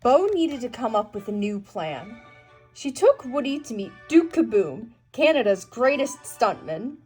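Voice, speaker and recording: A woman narrates a children's storybook in a high, slightly husky voice that rises and falls with great animation. Music plays behind her as she reads. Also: big pitch swings, unhurried, darkish tone. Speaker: storybook reader. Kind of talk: scripted read-aloud narration of a children's story.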